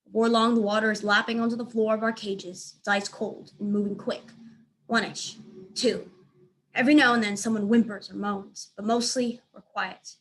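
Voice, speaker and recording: A woman's voice: mid-range voice, fairly even intonation, normal speed, a bit bright, rough recording. A woman reading aloud as a teacher, narrating a children's book expressively.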